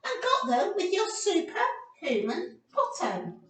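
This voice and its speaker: A woman voicing a confident, encouraging female animal who can fly, coaching in an excitable, matter-of-fact way for a children's storybook. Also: very high-pitched, a little slow, voice pushed up, heavily acted. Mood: teasing, insistent. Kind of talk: children's storybook read-aloud. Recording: roomy echo, distant microphone.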